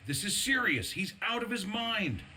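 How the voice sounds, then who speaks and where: Male game soldier: urgent, earnest fighter, sharp forceful tone, very lively pitch, faint noise behind. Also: moderate pace, full character voice. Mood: alarmed, pleading. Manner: tense, forceful, emphatic. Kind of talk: video game cutscene acting.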